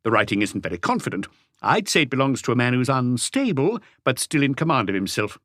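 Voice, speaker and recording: A man voicing an eager, precise, excitable male handwriting expert explaining things in an audiobook. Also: medium pitch, brisk, dry recording, clean studio sound.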